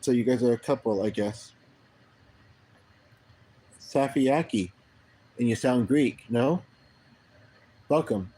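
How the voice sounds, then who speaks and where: A middle-aged man from Canada chatting casually on his own livestream, rambling and halting with many pauses and restarts. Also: mid-range voice, dark tone, husky, poor audio. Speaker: livestream host.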